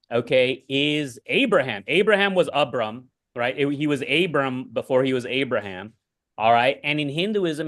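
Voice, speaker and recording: Earnest man explaining, mid-pitched voice. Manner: earnest, explaining, checks in with 'right?' and 'okay?'. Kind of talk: casual chat and preaching. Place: online video meeting.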